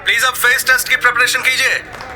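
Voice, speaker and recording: An actor playing a brisk male film director calling out announcements on set in an audio drama. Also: extremely high pitch, level tone, pushed and bright, faint music behind, heavily acted. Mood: commanding.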